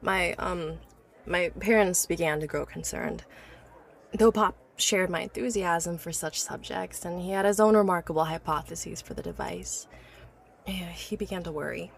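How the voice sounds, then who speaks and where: A woman's voice: on the low side, moderate pace, vocal fry, a little hiss or hum. A woman playing an obsessive, learned inventor who recounts her life's work earnestly and articulately in an audio drama. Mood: wistful, confiding. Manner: articulate, earnest, stammers when awed by her subject.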